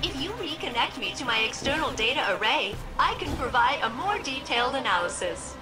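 A woman's voice: sits high, big pitch swings, fairly quick, rough recording. An actress voicing a quirky, cheerful female ship AI in a video game, with a chirpy, playful delivery. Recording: game audio with loud music.

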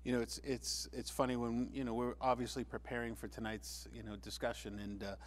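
A man's voice: medium pitch, level tone. Earnest man on a studio panel. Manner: earnest, measured, many restarts. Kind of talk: panel discussion.

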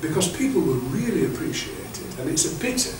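A man's voice: high voice, very animated, fast. An older man giving a chatty, anecdotal training talk to clergy, often restarting with stammered repeats, heard from a camera across a meeting room. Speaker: bishop leading clergy training. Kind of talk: lecture to clergy. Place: classroom-sized meeting room with projector screen. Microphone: far camera. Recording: echoey room, far from the mic, faint hiss.

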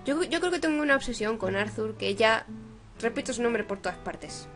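A young woman with a Spain accent and a fairly high voice. She hosts a game playthrough, commenting and reading aloud. Faint game music sits behind her. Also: lively intonation, moderate pace, fairly bright tone. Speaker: gaming video host.

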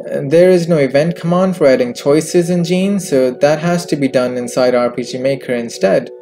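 A man presenting a software tutorial in scripted narration, recorded as a close voice-over with music behind him. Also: a little high. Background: background music.

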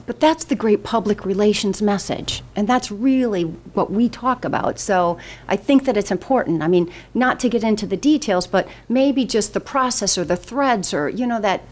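A woman's voice: medium pitch, moderate pace, few pauses. A woman in her forties, a board member, speaking persuasively at a meeting through a desk microphone. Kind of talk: meeting remarks.

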